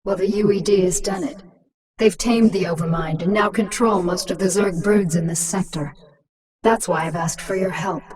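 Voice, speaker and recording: A woman's voice: on the low side, steady tone, normal speed, far from the mic, crowd noise, close to an everyday voice. A woman voicing a ruthless, sardonic alien-hybrid queen in a video game, speaking with mocking, commanding menace. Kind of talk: scripted video game voice acting.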